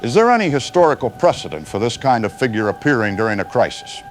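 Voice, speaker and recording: A man playing a grave news anchor in an audio drama, delivering formal, urgent crisis reports in a measured way. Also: mid-range voice, very animated, soft background music, close to an everyday voice. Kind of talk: scripted broadcast news reporting.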